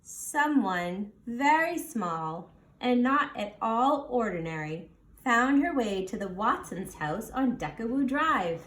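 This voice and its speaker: Lady reading a kids picture book, slow and really lively, explains stuff simply, echoey room. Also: a little high. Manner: explains words simply, addressing young listeners. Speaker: storybook reader. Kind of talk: animated children's read-aloud with explanatory asides. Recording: roomy, echoing sound.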